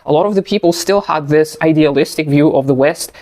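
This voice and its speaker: Male, 30s, native Russian speaker, thoughtful solo commentary, slightly raised pitch, unhurried. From post-Soviet country. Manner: thoughtful, measured, occasional soft laughs. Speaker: video essayist. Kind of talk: reflective personal commentary.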